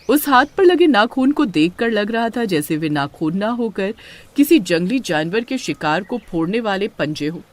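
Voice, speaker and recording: A woman reading scripted horror story narration as the storyteller. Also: mid-range voice.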